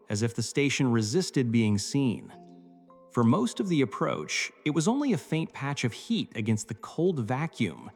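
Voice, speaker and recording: A man reading scripted cinematic science fiction narration in a measured, serious storytelling manner. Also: mid-range voice, darkish tone. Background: music bed.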